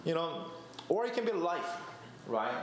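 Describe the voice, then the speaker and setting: A man's voice: a little high, big pitch swings, measured pace, slight background noise. A young man giving a chatty sermon as preacher, telling stories and laughing at himself. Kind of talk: conversational sermon. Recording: echoey church room.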